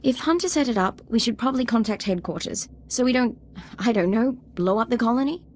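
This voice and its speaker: A woman's voice: on the high side, lively intonation, fairly quick, slight background noise, lightly acted. A woman playing an earnest, uneasy military subordinate in a video game, hesitant and deferential. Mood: uncertain. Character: earnest, uneasy young female Imperial subordinate. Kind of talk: scripted video game dialogue.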